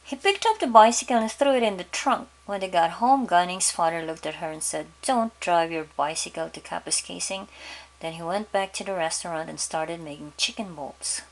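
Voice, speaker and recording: A woman storyteller reading a children's story aloud, pausing to ask her young listeners questions. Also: lowish voice, poor audio.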